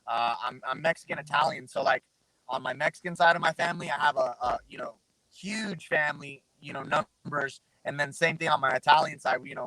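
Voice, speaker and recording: A man's voice: medium pitch, pushed and bright, poor audio. A man from Southern Arizona, a guest fighter, chatting casually and rambling with lots of fillers over a remote mic that pops. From Southern Arizona, USA. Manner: laid-back, rambling, full of fillers. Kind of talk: casual interview chat. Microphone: remote call mic with popping.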